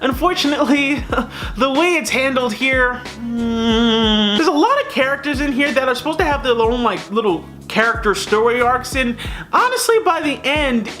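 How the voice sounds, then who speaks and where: A man giving a casual, opinionated movie review in an animated, colloquial way with mocking asides. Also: extremely high pitch, normal speed, soft background music.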